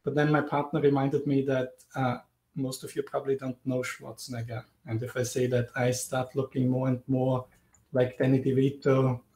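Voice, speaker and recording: A man in his forties from Austria with an Austrian accent, giving a clear, lightly humorous guest lecture over a video call. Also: medium pitch, a little mellow. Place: indoors, home or office, virtual background. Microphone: video call webcam. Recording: roomy video call audio.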